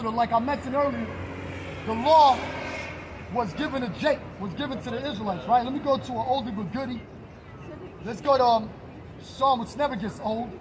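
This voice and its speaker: A man preaching on the street, reading scripture aloud and firing rhetorical questions at passersby. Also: very high-pitched. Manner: emphatic, fires rhetorical questions and challenges listeners. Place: outdoors on a city street near traffic. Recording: street noise outdoors.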